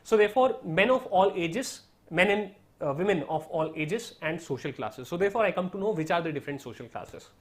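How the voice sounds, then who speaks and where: A man's voice: sits high, lively intonation, fairly quick, hardly any pauses. A man with an Indian English accent and Hindi as his first language, explaining an exam study strategy step by step in an online lesson. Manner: explanatory, repeats "right?" and "so therefore", mixes in Hindi. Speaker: teacher.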